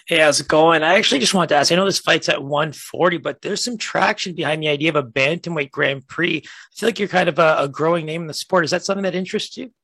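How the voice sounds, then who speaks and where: A man, a reporter, asking a question on a media call in friendly, quick, casual phrasing. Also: a little high, pushed and bright, breathy edge, no echo.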